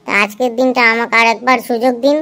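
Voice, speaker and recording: A woman's voice: on the high side, little pitch movement, fast talker, bright, forceful tone. A woman voicing a cheeky, excuse-making schoolboy in an amateur cartoon dub, long-winded and pleading.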